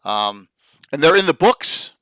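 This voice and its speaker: A man, his voice bright and forceful with a smooth, crisp finish. He talks in an upbeat way, tossing in quick asides. The sound is dry and close. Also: on the high side, sing-song, no room sound. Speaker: podcast host. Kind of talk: casual video-call chat. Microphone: video call.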